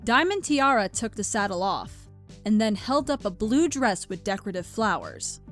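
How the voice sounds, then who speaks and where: A woman narrates a scripted story in a medium-pitched voice. She reads as a storybook narrator, with music playing quietly underneath. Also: big pitch swings, normal speed.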